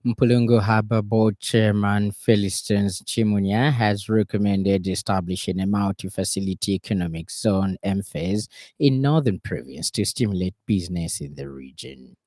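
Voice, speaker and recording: A man's voice: lowish voice, normal speed, darkish tone, dry recording, clean studio sound. A man with a Zambian accent reading a scripted radio news bulletin in a formal announcing manner. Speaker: news reader. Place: radio studio.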